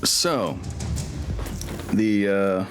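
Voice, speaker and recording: A man casually narrating a tabletop game as game master, informal and sweary, thinking out loud. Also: lowish voice, extremely slow. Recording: music playing behind the voice. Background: background music.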